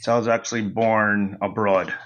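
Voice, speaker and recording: Brief: American guest, mid-low voice, sincere and steady, slightly muffled call audio. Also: male voice, moderate pace. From United States. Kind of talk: casual interview talk.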